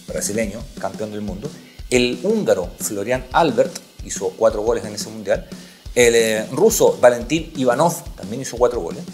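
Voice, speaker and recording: A man's voice: on the low side, expressive, normal speed, a little mellow, soft background music. A man hosting a sports podcast, telling stories emphatically and repeating key points. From Chile. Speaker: podcast host, sports journalist.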